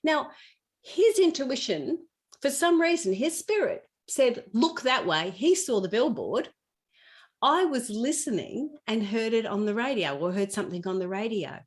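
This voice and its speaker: A woman's voice: on the high side, lively intonation, measured pace. A woman podcast guest talking conversationally as a spiritual teacher, explaining things with personal anecdotes and everyday examples.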